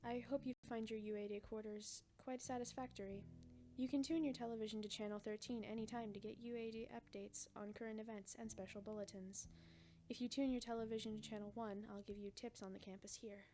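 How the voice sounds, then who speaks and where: A woman's voice: fairly high pitch, steady tone, a little fast, mellow, close to an everyday voice. A woman playing a polite televised welcome guide in an audiobook drama, courteous and formal as she gives information.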